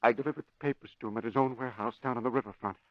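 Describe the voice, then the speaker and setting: A man's voice: medium pitch, very fast, dry recording, highs cut off, natural voice. An actor playing a nervous, guilty bank man in an old radio mystery, cowed and pleading. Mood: terrified pleading.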